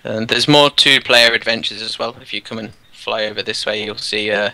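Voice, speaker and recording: A man, a teacher, casually explaining his classroom activities while touring a game world, relaxed and rambling with many fillers. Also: on the low side, fairly quick, no room sound, rough recording. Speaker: teacher, guest.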